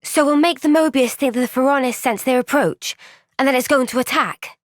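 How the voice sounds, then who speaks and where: An actress voicing a young woman soldier in a game cutscene, soft-spoken and caring. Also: sits high, a little fast, no echo, clean studio sound, natural voice.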